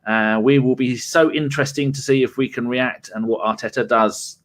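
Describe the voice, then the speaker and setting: A man's voice: mid-range voice, steady tone, normal speed. A man co-hosting a football podcast, rambling through his notes with a jokey, teasing manner. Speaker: podcast co-host. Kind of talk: casual football chat.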